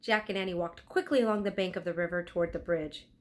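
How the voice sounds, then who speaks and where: A woman's voice: fairly low pitch, fast. A woman narrating a children's book aloud expressively, enunciating for young listeners. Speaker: storybook reader.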